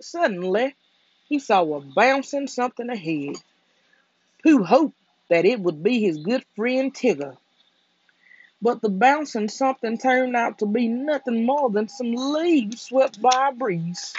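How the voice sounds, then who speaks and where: A storyteller reading a children's book aloud for bedtime in a soothing, deliberate way. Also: medium pitch, lively intonation, a little mellow, husky, no room sound, dull audio.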